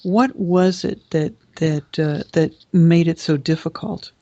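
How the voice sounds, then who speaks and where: An American radio show host in a measured interview, asking questions plainly. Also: mid-range voice, mellow, dry recording, lo-fi sound. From United States.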